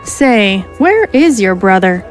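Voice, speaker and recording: Tough female alien smuggler, played by a woman; brash, slangy, mid-pitched, over faint music. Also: big pitch swings, slow.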